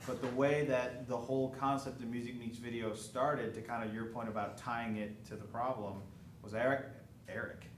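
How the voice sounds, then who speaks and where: A man with an ordinary mid-range voice moderating a panel in a relaxed, storytelling way. He sounds set back from the mic in a reverberant hall, with noise underneath. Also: mid-range voice, moderate pace. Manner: relaxed, storytelling, casual swearing. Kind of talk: panel discussion. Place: event hall. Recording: echoey hall, distant mic, noisy.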